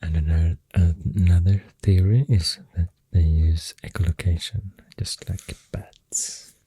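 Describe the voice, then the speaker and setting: A man with a Swedish accent speaking softly in a halting, half-whispered ASMR ramble, close to the microphone. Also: low-pitched, fairly even intonation, no echo. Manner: hushed, breathy, half-whispered. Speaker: ASMR host. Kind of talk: soft-spoken ASMR rambling.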